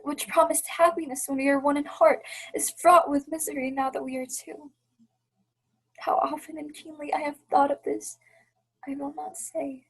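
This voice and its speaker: A woman's voice: high voice, flat delivery, moderate pace, rough recording. A young woman playing a heartbroken but resolute, dignified fiancée in a radio play, speaking earnest, formal period English. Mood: wounded, resolute. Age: young adult.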